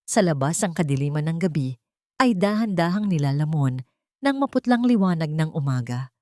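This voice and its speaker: Low-pitched woman narrating a dramatic story. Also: lively intonation, normal speed, a little mellow, no room sound.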